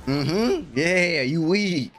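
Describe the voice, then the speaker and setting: Animated young man with a higher-pitched voice. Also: extremely slow, soft background music. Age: young adult. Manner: animated, slangy, exclamatory. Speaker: anime reaction host. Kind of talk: casual reaction commentary. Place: small bedroom streaming setup. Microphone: desk microphone close in front.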